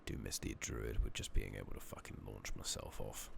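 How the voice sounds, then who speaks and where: Very low, gravelly, soft-spoken man. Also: steady tone, fairly quick. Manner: murmuring, often dropping into whispers; casual muttering to himself, mild swearing. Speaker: video game streamer. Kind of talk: soft-spoken ASMR gameplay commentary. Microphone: makeshift-rigged new microphone. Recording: noisy close mic, slightly loud, clicking.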